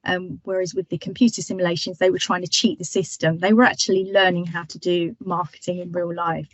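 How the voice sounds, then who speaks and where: Husky lady lecturer rambling a bit, lots of ums, dull dry webinar audio. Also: fairly low pitch, little pitch movement, a little fast, no room sound. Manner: chatty, frequent ums and restarts. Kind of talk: webinar talk.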